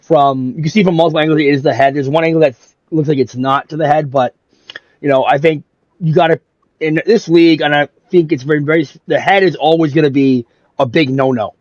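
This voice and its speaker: A man co-hosting a casual hockey podcast, hoarse and raspy after an illness, rambling with lots of "like" and "you know". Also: fairly high pitch, steady tone, fairly quick, a little mellow, dry recording, highs cut off. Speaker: podcast co-host.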